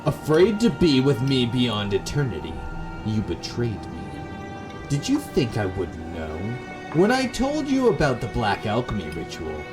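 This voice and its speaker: A man voicing a wronged gothic woman, a tender yet bitterly accusing lover, in a slow, dramatic, intense reading for a horror game. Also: medium pitch, huge pitch swings, over music. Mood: bitter, pleading.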